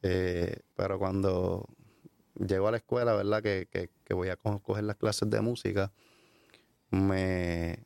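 A man with a Puerto Rican accent speaks in a very low, gravelly voice. He talks in a flat, hesitant way, full of fillers and restarts. The sound is dry and close. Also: dry recording. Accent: Puerto Rican Spanish. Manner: halting, many fillers and restarts. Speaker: guest giving a testimony. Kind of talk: hesitant conversational storytelling.

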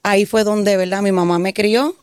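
A woman's voice: medium pitch, fairly even intonation, normal speed, darkish tone, clean tone, no echo, clean studio sound. A Puerto Rican woman telling her faith testimony in an interview, earnest and saying amen often. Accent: Puerto Rican Spanish. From Puerto Rico. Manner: earnest, answers with frequent "amén". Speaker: guest giving a testimony.

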